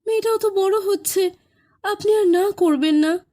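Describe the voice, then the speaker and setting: A woman plays a caring young wife in an animated horror drama. Her voice is extremely high and soft-toned, with an earnest, imploring, emotional delivery. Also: moderate pace. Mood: pleading. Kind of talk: animated horror story voice acting.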